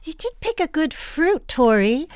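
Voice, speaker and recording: A woman's voice: sits high, very animated, measured pace, no room sound. A woman hosting a theatre podcast, interviewing guests in a studio discussion. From Transylvania family background.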